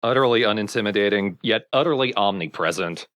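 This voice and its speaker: A man's voice: lowish voice, a little fast, a bit bright, no echo, studio quality. A man hosting a let's play, chatting casually about games in a wry, bluntly critical way. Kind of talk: casual gaming commentary with friends.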